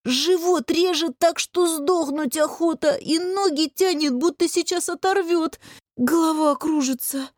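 A melodramatic young man, acted by a woman narrator, whining and hot-tempered in a comic fantasy audiobook. The voice is pushed very high and drags out its suffering complaints, recorded dry. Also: no room sound, lightly acted. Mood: agonized wailing. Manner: wailing, drawn-out complaining.

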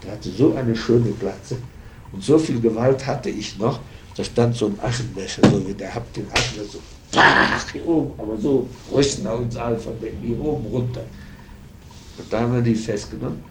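An older man recollecting in conversation, speaking colloquially in an emphatic, halting way. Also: medium pitch. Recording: noisy, distant archive tape.

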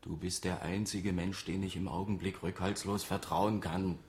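A man's voice: low voice, little pitch movement, moderate pace, darkish tone. A man acting in a radio drama as a scheming, insistent office auditor who talks persuasively and conspiratorially. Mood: coaxing, pressing. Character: scheming, insistent male office auditor hiding a lottery win. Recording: roomy echo, faint noise.

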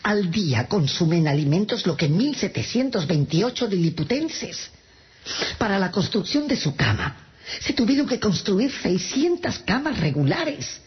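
Stiff cold royal adviser in a radio play, formal, voice swings around a lot, bit muffled. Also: male voice, high-pitched. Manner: formal, declamatory. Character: cold, officious royal adviser pressing for harsh punishment. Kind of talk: radio drama acting. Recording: muffled radio drama with music.

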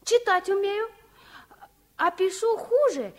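Little girl, radio drama: very high, unhurried, naive and bluntly honest. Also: expressive, heavily acted. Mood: frank, shy. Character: little girl, earnest, frank, tender daughter. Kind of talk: 1950s Soviet radio play acting.

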